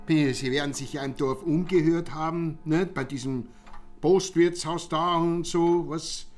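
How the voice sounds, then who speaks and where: An actor playing a chatty, pompous, fussy village count in a radio play, with an Austrian accent and hesitant repetitions. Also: male voice, fairly high pitch, steady tone, a little slow, faint music behind, natural voice. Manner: affable, pompous, repeats and hesitates.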